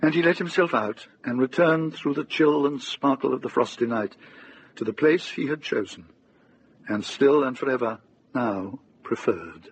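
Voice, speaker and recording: A man narrating a radio drama as a calm, literary storyteller, unhurried and lyrical. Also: medium pitch, a little hiss or hum, lightly acted, poor audio.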